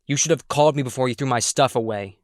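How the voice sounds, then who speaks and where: A man's voice: mid-range voice, quick pace, natural voice. A man reading the part of an angry teenage boy who pleads and argues with his father and stepmother in a table read. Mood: pleading, resentful.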